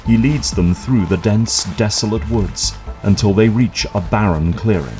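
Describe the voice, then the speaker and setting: A male narrator with a low, dark, mellow voice. He reads the scenes in a measured, descriptive way. Faint music plays beneath him. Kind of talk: scripted narration.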